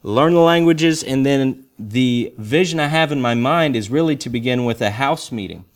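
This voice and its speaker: A man's voice: medium pitch, normal speed. A young adult American man, a missionary, speaking to a church congregation in a conversational, explanatory way with rhetorical questions. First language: English.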